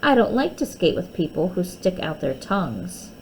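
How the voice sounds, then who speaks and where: A woman's voice: on the low side, big pitch swings, normal speed, a little hiss or hum, poor audio. A woman voicing a stubborn, wistful young girl in a children's storybook, pouty and plaintive.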